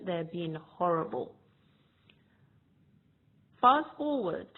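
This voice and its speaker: Woman from Ghana, slow unhurried delivery, reflective testimony, thin phone-quality sound. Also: mid-range voice. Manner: reflective, measured recollection. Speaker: storyteller sharing personal experience. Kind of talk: personal testimony. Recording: tinny phone-like line.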